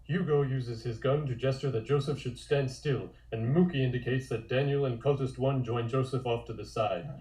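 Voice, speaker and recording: A man's voice: medium pitch, fairly even intonation, moderate pace, few pauses, mellow. A man reading scripted comic narration and stage directions in an echoey room.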